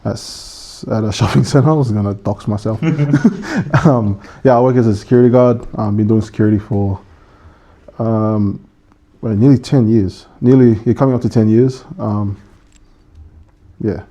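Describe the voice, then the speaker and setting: A man in his twenties from Samoa, a podcast guest chatting casually with many pauses and fillers. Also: lowish voice, dark tone. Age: 20s. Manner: easygoing, laughs often, many ums.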